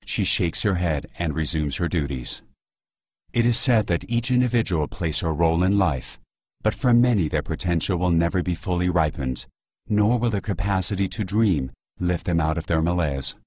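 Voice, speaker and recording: A man playing the solemn narrator of an audio play, reading literary prose in a measured way. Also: deep voice, expressive, darkish tone, breathy edge, dry recording, studio quality. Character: solemn, literary male narrator of a surreal drama. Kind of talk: scripted dramatic narration.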